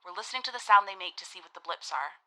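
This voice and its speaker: A woman's voice: lowish voice, quick pace, bright, forceful tone. A blunt, dry female officer. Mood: curt, irritated. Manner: dry, curt, sardonic. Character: blunt, no-nonsense female coast guard officer narrating her investigation. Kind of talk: radio drama first-person narration.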